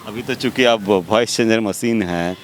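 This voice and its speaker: A man's voice: on the low side, moderate pace, a little hiss or hum. A man hosting an informal live interview on location. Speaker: interviewer, host. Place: outdoors in a park.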